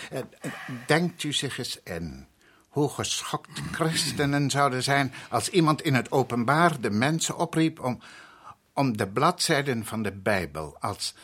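An actor playing a proud, formal high priest in a radio play, coolly polite and stubborn in measured, haughty speech. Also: male voice, a bit bright, husky, full character voice. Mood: indignant.

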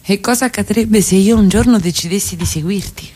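An actress playing a composed middle-class wife in a radio play, coy and gently provocative with her husband. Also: medium pitch, brisk, lightly acted. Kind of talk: Italian radio theatre acting.

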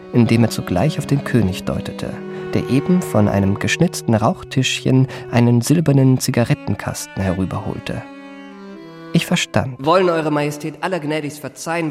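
A man's voice: fairly high pitch, a little mellow, natural voice. Man acting a suave young impostor, music behind. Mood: gushing flattery. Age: young adult. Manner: ornate, courtly, effusive diction. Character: suave young impostor posing as a flattering marquis. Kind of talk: first-person literary radio drama narration with acted dialogue. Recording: studio radio play with music.

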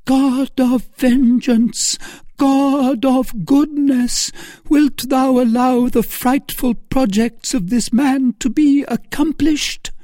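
A narrator voicing a melodramatic woman schemer in an audiobook novel, feigning pious innocence with breathy, imploring pleas. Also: medium pitch, slow pace, warm tone, dry recording, voice pushed up. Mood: tearful prayer.

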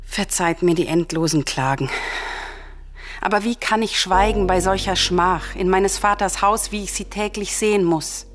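An actress playing a grieving, vengeful, defiant princess in a classical radio tragedy, speaking in solemn, impassioned declamation. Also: female voice, medium pitch, normal speed, natural voice. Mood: bitter lament.

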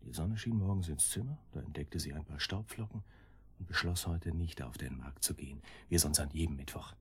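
A man's voice: rumbling low, brisk, husky, heavily acted. An actor playing an older, cautious henchman in a radio drama, hesitant and brooding as he reasons things through. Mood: anxious reasoning.

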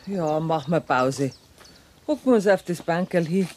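A practical, bossy woman with a Bavarian accent, in a radio play. Her matter-of-fact speech swings widely in pitch. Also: lively intonation, measured pace, darkish tone. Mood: brisk, practical. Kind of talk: 1970s German radio drama acting.

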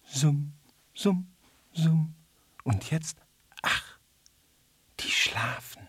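A woman's voice: deep voice, animated, drawn-out words, breathy edge, dry recording, full character voice. An actress voicing a small male beetle in a children's radio play, whiny and plaintive, sorrowful, timid yet a little vain. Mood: indignant, hushed.

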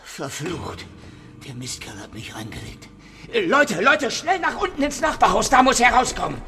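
Frantic high-pitched policeman barking orders. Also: wildly swooping pitch, normal speed, vocal fry, full character voice. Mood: furious. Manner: shouting, agitated. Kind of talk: German radio crime comedy acting.

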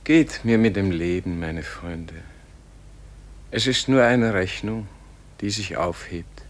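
A man playing a dying, serene Gandhi in a solemn radio play, speaking in a gentle, contemplative, weary manner. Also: low voice, takes it slowly. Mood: weary pleading.

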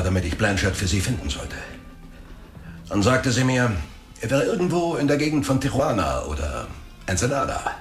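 Brief: greedy, slick private eye man acting, low voice that swings widely, chummy slang, noir radio play. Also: roomy sound, close to an everyday voice. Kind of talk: radio drama dialogue, storytelling monologue.

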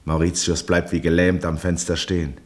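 An actor as the grave, measured narrator of a 1960s German radio drama, reading literary prose. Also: male voice, low-pitched, level tone, lightly acted.

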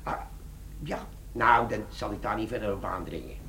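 A creaky-voiced older sergeant, cheeky and bluffing, talking in colloquial radio-play style. The recording is clearly noisy, like an old broadcast comedy. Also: male voice, fairly low pitch, animated, moderate pace, bright, forceful tone, heavily acted. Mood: cocky, eager. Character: scheming older army sergeant, cheeky, bluffing, overweight drinker. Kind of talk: comic crime radio play acting.

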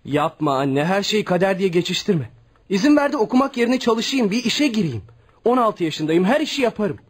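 Husky, high-voiced teenage son. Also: male voice, very animated, a little fast, full character voice. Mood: protesting, earnest. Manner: earnest, protesting, downcast. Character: troubled, protective sixteen-year-old son of a poor widow. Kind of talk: scripted radio drama acting.

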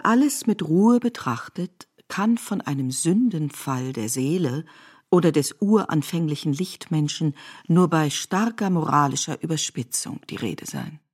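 A woman reading literary narration in a deliberate, articulate way. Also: sits low, lively intonation, darkish tone, slightly rough, soft background music. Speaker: narrator of a literary radio adaptation.

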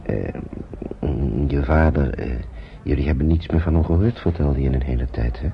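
A man's voice: rumbling low, moderate pace, creaky. An actor playing a caring older man looking after refugee children in an old radio play, talking hesitantly and repeating himself soothingly. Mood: tender, hesitant. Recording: muffled, noisy old radio play recording.

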